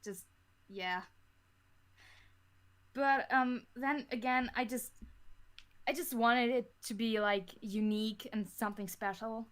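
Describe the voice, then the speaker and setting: A fifteen-year-old girl chatting casually as an interview guest, in a hesitant, halting manner. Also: a little high, steady tone. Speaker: interview guest, audio drama creator. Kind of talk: casual chat.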